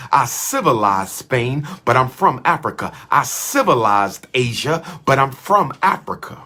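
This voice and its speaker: A man's voice: medium pitch, pushed and bright, breathy edge. A man giving an impassioned speech to an audience, hammering points home in repeated, chant-like phrases. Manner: emphatic, repetitive chant-like cadences. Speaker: speaker addressing an audience. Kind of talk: impassioned oratory.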